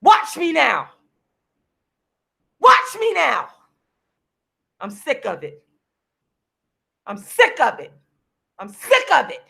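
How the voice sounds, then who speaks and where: Hoarse, gravelly-voiced woman ranting heatedly. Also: sits high, wildly swooping pitch, slow, long pauses. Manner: heated, repetitive, preachy, now and then shouting. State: losing her voice, hoarse. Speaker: vlogger and activist. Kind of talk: impassioned direct-to-camera rant. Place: seated at home signing books.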